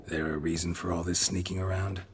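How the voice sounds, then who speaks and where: A man playing a world-weary witcher in a video game, terse and deadpan, in a low gravelly murmur. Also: brisk, natural voice. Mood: dry, probing. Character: world-weary gruff male witcher, monster hunter for hire. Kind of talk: video game cutscene acting. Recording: music under the dialogue.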